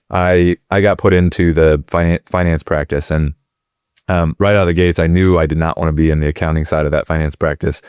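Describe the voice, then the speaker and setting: Deep-voiced man, smooth and dry. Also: darkish tone, no room sound. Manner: rambling, many fillers and restarts. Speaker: guest, company executive. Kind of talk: conversational interview.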